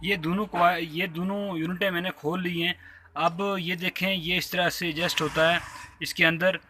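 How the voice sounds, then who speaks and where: A Pakistani man with a fairly high, bright and forceful voice hosts an informal how-to video. He explains each step politely and tends to repeat himself, recording on a phone held in his hand. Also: steady tone, normal speed, a little hiss or hum. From Azad Kashmir, Pakistan. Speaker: repair tutorial host. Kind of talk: informal hands-on tutorial narration. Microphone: handheld phone while working.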